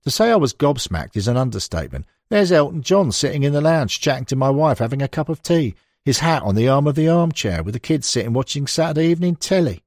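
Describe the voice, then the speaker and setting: A man voicing a blunt, animated football manager reminiscing. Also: mid-range voice, fast talker, few pauses, no echo, clean studio sound, voice pushed up. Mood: animated recollection. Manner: conversational, emphatic, blunt storytelling. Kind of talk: acted interview quotations in an audiobook.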